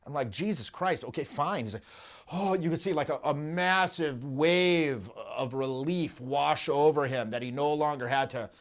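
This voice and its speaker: A man in his thirties, a dating coach hosting a casual podcast chat, telling stories animatedly and acting out the voices of people he quotes, recorded in a dark studio. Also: a little high, normal speed. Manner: animated storytelling, acts out quotes.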